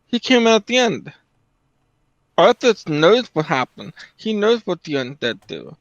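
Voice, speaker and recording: A man's voice: high voice, sing-song, a little slow, no echo, highs cut off. A man giving casual, opinionated commentary as a guest on a stream.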